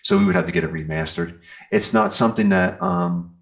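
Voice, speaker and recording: A man with a gravelly voice chatting casually as an interview guest, speaking in a hesitant, halting way. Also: sits low. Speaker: interview guest, metal band singer and guitarist.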